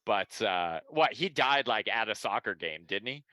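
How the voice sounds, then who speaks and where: Sarcastic sweary podcast cohost guy, bright voice that bounces all over. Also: on the low side, lively intonation, moderate pace, no room sound. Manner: sarcastic, profane. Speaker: podcast co-host. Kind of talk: casual chat.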